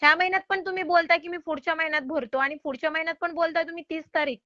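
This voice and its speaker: A woman's voice: very high voice, steady tone, very fast, bright, forceful tone, no room sound. A woman making a loan recovery call in an insistent, polite but exasperated manner. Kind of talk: phone collection call. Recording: slightly dull phone-call audio.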